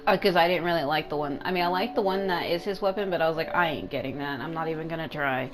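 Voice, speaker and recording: A woman with a fairly low voice and a congested throat rambles casually through game commentary. She thinks out loud and swears freely. Music plays clearly behind her. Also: fast. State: congested throat with mucus, coughing. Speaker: gaming video host.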